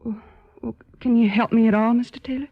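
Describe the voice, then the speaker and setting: An actress playing an anxious sister who pleads hesitantly and earnestly in an old-time radio drama. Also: female voice, a little high, steady tone, fairly quick. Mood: pleading. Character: anxious, pleading older sister of wayward boy. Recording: dull, lo-fi old radio recording.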